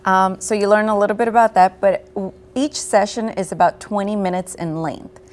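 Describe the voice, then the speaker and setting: A woman guest explaining a community program in an interview, clear and full of examples. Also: mid-range voice, fairly even intonation, moderate pace. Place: local TV studio.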